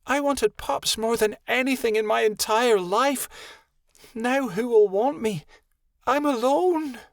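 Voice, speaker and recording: A man voicing a proud, wounded young female wolf in a high, breathy voice. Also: slow, a bit bright, no room sound, studio quality, exaggerated voice. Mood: despairing.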